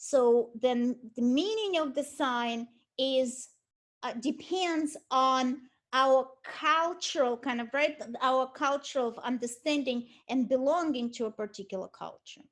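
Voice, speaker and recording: Middle-aged russian-accented lady lecturing, high voice, lots of ums and restarts. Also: measured pace. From Ukraine. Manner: hesitant, many restarts and ums. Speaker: art history lecturer. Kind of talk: online university lecture.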